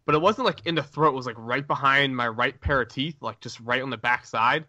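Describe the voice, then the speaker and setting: A man co-hosting a casual podcast, telling stories in a quick, chatty way. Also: mid-range voice, bright, forceful tone, no echo. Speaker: podcast co-host.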